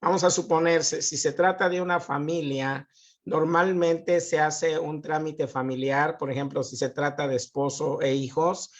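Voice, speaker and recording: A man, a guest expert on immigration, methodically explaining legal questions on a live show. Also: on the high side.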